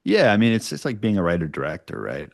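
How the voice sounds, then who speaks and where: A man's voice: lowish voice, very animated, quick pace, creaky. A man speaking as an interview guest, explaining his work in an informal, conversational way. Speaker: podcast producer, interview guest. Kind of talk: casual explanatory interview talk.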